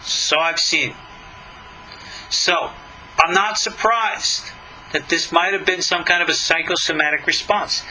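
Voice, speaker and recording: A man's voice: fairly high pitch, measured pace, long pauses, sharp tone, a little hiss or hum, low-quality recording. A middle-aged or older man, a rabbi giving a religious lesson, reading a text and commenting on it in an emphatic, skeptical, questioning way.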